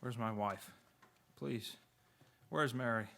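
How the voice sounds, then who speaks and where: A man's voice: medium pitch, slow pace, creaky, sharp tone, heavily acted. A man playing a desperate, agitated family man who stammers and repeats himself in a radio play. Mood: dazed, pleading.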